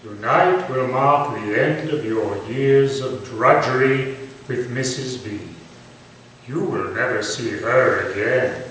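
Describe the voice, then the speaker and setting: Man acting a slow, menacing Victorian gentleman villain. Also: medium pitch. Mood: coaxing, sinister. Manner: formal, deliberate, theatrical delivery. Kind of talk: staged reading of a Victorian thriller. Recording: distant, echoey stage recording with faint noise.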